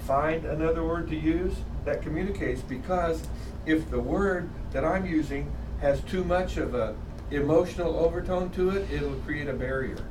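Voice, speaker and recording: A middle-aged man with a raspy voice teaching a college class, joking and animated as he lectures. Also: on the high side. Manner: animated, joking, exaggerated delivery. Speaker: college instructor. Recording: echoey classroom from far off, noisy.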